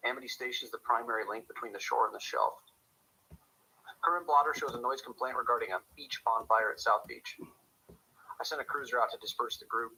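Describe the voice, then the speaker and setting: Man playing a bright, husky small-town police officer. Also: mid-range voice, exaggerated voice, low-quality recording. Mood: measured, official. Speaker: police officer on radio dispatch. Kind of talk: radio drama, scripted log narration and calls.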